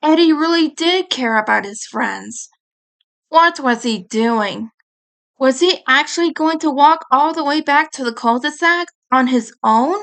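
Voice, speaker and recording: A woman with a high voice narrates a story as an audiobook reader. She reads very slowly, drawing out phrases with dramatic expression. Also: lively intonation, fairly bright tone. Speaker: fan fiction audiobook reader.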